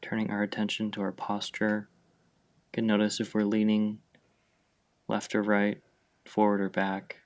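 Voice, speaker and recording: A man leading a guided meditation, giving gentle, unhurried instructions with long pauses between phrases. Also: lowish voice, completely flat delivery. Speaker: meditation guide, mindfulness fellow. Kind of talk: guided meditation, slow and spacious.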